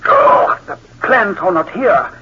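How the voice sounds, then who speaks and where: An actor playing a tense, irritable spy accomplice with an urgent, curt manner in 1940s radio drama. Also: male voice, high voice, very animated, slow pace, heavily acted. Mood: urgent, frustrated. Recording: muffled, noisy 1940s radio recording.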